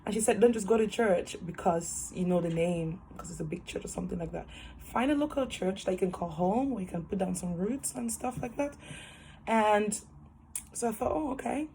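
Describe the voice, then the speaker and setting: A woman's voice: mid-range voice, moderate pace, rough recording. Cheerful woman in her 20s, laughing storyteller, webcam call from home, slight room hiss. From Cameroon. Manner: cheerful, laughs often, animated hands. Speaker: interviewee, student nurse. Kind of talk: casual personal story. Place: small room at home, indoors. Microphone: webcam on a video call.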